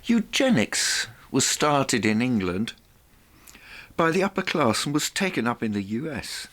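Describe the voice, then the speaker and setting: A man presenting a reflective monologue in a theatrical, deliberate way, with rhetorical asides. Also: medium pitch, very animated, fairly bright tone.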